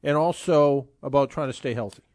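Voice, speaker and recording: A man's voice: medium pitch, brisk, a little mellow, no echo, clean studio sound. A man in his sixties hosting a car talk radio show, chatting casually and rambling with frequent restarts. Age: 60s.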